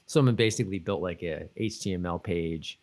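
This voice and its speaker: A man's voice: sits low, a little fast, darkish tone. A man co-hosting a podcast in casual, rambling chat with lots of hedging, sometimes reading notes aloud. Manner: rambling, hedging, often saying "like". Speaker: podcast co-host.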